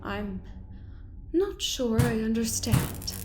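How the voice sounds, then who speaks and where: A cold villainess doctor, with a fairly high voice and slow, deliberate delivery. She sounds haughty and menacing, as in an audio drama, with faint music behind her. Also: expressive. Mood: cold, threatening.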